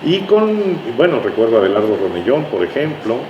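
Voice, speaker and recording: A Mexican man talks as a guest in a relaxed-format interview, recounting anecdotes at length with little tag questions along the way. His voice sits at an average pitch. The room is echoey, with a noticeable noisy hum behind him. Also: a little fast, highs cut off. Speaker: interview guest, writer recalling his radio work. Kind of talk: conversational reminiscing.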